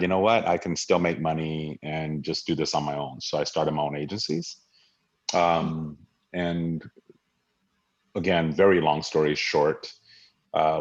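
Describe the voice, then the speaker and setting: A man from Hawaii, a guest in an interview, talking in an earnest, reflective way with many fillers and restarts. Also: a bass voice. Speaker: guest, diversity leader. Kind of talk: conversational interview. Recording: dull lo-fi audio.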